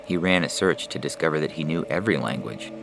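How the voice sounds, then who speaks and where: A man's voice: extremely low pitch, animated, fast, creaky, very noisy. A man reading scripted science fiction narration as a storyteller. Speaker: author and story reader. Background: prominent music.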